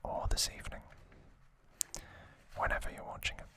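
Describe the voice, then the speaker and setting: A man hosting ASMR in a breathy, almost entirely unvoiced whisper, speaking gently and unhurriedly to his viewers. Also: normal speed. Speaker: ASMR host.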